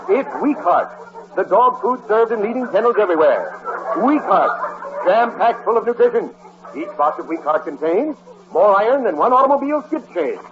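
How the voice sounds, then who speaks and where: A man in a 1940s radio comedy, hamming up a mock commercial in an exaggerated pitchman's manner. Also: animated, normal speed. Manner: hammy, exaggerated pitchman delivery. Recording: muffled 1940s radio broadcast with loud hiss.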